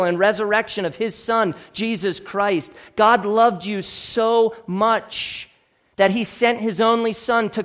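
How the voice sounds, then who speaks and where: A man delivering a sermon as a preacher, speaking in an earnest, emphatic, oratorical way with pleading weight. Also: high-pitched. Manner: oratorical, dropping from loud declaration to hushed, slow phrases. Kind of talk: Christmas sermon with recited scripture.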